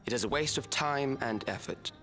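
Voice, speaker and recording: A man's voice: mid-range voice, normal speed, pushed and bright. A man playing a cold, detached scientist villain in a video game cutscene, speaking with formal precision. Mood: cool, detached. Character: cold, calculating male scientist without flesh, a villain.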